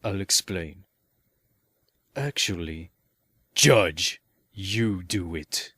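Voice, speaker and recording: A man's voice: low voice, animated, extremely slow, a bit bright, breathy edge, dry recording, studio quality, heavily acted. An actor playing an arrogant, bossy male prosecutor with a pompous, theatrical and condescending delivery in a fan audio drama. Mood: haughty. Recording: voice over background music.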